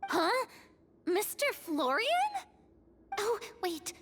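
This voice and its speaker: A woman voicing a small, excitable, childlike game companion who is easily spooked, with a squeaky, exclamatory delivery. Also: very slow, background noise, full character voice. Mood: surprised. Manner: exclamatory, expressive. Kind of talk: video game voice acting.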